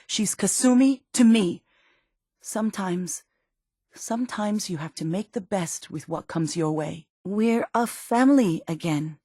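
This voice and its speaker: A worried mother in middle age, weary and fiercely protective of her family. Her voice has a medium pitch with a slightly husky grain. She speaks in earnest, halting, emotional phrases, recorded dry as post-apocalyptic video game dialogue. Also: animated, dark tone, no echo. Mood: resolved, tender. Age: middle-aged. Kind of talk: dramatic video game voice acting.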